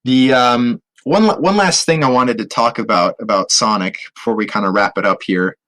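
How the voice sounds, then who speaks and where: A man's voice: mid-range voice, moderate pace, a bit bright, rough recording. A man co-hosting a podcast, chatting casually about his opinions in a hesitant way that often repeats phrases. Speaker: podcast co-host. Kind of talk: casual movie review chat.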